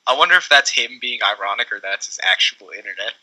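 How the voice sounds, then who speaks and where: Bright-voiced young man chatting casually. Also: lowish voice, lively intonation, quick pace, rough recording. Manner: laughs often, jokey. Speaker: podcast host. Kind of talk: casual chat with friends.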